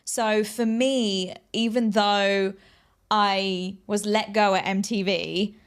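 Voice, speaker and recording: A woman's voice: mid-range voice, fairly even intonation, slow pace, clean tone. A woman speaking as an interview guest, telling personal stories conversationally in an earnest, emphatic, motivational way. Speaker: interview guest, aspiring TV presenter telling career story.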